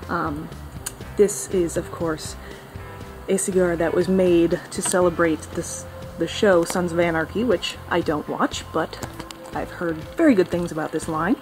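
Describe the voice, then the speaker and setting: Chatty young woman reviewing cigars. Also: fairly low pitch. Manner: friendly, chatty, with frequent ums. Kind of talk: casual product review.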